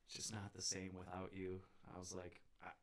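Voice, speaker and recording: A man's voice: low-pitched, monotone, brisk. A man in his forties, a DJ, chatting casually among friends. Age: 40s. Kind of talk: casual chat.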